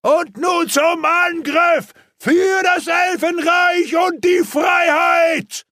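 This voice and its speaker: A stern, resolute elf wizard, voiced by a male reader speaks in curt, commanding phrases. His voice rises and falls with lively emphasis and carries a husky grain. He sounds like a leader in a fantasy storybook. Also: mid-range voice, moderate pace, no echo, clean studio sound. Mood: rallying shout.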